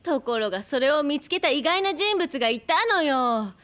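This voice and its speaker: A woman's voice: high voice, expressive, normal speed, fairly bright tone. A woman playing a perky, teasing scientist in an audio drama, speaking in a playful, familiar, emphatic way. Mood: excited. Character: perky, teasing adult female scientist friend.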